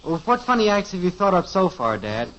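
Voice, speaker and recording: An actor playing a pushy, wisecracking teenage son in a 1950s radio sitcom, on a dull lo-fi old radio recording. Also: male voice, sits high, big pitch swings, a little fast, a bit bright. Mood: urgent.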